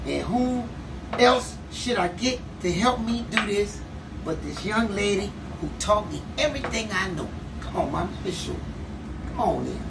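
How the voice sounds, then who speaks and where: A man's voice: high-pitched, expressive, moderate pace, vocal fry. Playful man in an echoey kitchen. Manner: playful, teasing, laughs often. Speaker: chef and cooking show host. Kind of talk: casual cooking demonstration. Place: home kitchen, indoors. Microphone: far camera. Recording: echoey kitchen, distant mic, loud background noise.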